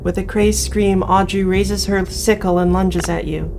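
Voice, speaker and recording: Higher-voiced woman reading a game aloud over music. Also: steady tone, a little slow, creaky. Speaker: game player and narrator. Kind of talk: reading visual novel aloud with commentary. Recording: music behind voice.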